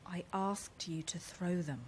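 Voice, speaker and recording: An actress playing a prim, wary romance writer, fussy and indignant, in a horror audio drama. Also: female voice, lowish voice, fairly even intonation, a little slow, a little mellow, a little hiss or hum, lightly acted. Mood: wary, hushed.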